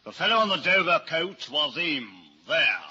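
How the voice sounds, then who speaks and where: Highish, stubborn, insolent witness. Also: male voice, big pitch swings, normal speed. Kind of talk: vintage radio drama acting. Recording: dull, noisy old-time radio recording.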